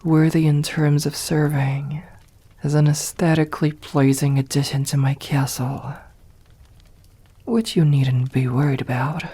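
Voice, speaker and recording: A woman voicing a haughty, seductive, possessive vampire mistress in an ASMR roleplay, speaking softly in formal, condescending phrases, often close to a whisper. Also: low voice, steady tone. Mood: amused, condescending. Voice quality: breathy, soft, often whispered. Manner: slow, deliberate, condescending, formal old-fashioned diction. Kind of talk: ASMR roleplay, scripted acting.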